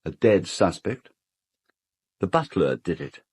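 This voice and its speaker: An actor playing an urbane, sardonic British gentleman host in a radio drama, worldly and articulate with a dry wit. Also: low voice, big pitch swings, slow, husky, no room sound, full character voice. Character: urbane, sardonic male host of an island house.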